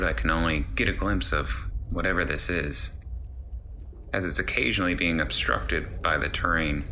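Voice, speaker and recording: Very deep, bright-voiced male story narrator. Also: slight background noise. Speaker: narrator reading a listener's true story. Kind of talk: first-person scary story narration.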